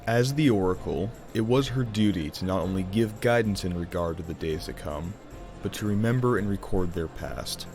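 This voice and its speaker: Male narrator for fantasy audio drama: very low voice, theatrical, deliberate storytelling over music. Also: darkish tone.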